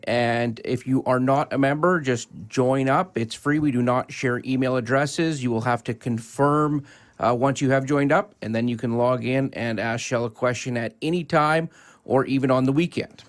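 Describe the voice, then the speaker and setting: A man's voice: medium pitch, normal speed. A man giving listeners step-by-step information as a radio co-host.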